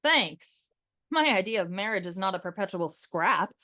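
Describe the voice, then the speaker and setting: Woman playing an earnest, animated young suitor. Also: mid-range voice, moderate pace, dry recording, studio quality. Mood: determined, fond. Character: devoted, stubbornly confident young male suitor. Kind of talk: comic radio play acting.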